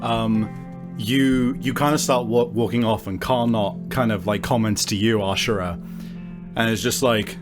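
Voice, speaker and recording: A man's voice: on the low side, fairly even intonation, unhurried, music in the background. A man running a tabletop game as game master, narrating casually and searching for his words.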